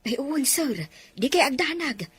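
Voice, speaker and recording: A woman's voice: high-pitched, big pitch swings, normal speed. A woman voicing a timid, loyal middle-aged housemaid who answers her employers with deference in a radio drama. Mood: deferential. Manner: deferential and flustered, keeps saying sir and ma'am.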